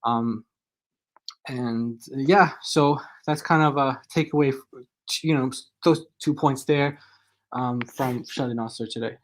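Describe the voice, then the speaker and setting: A man's voice: medium pitch, a little slow. A man talking casually as a guest on a livestream, rambling with lots of "like" and "kind of". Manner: loose and rambling, many likes and kind ofs. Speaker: guest on stream.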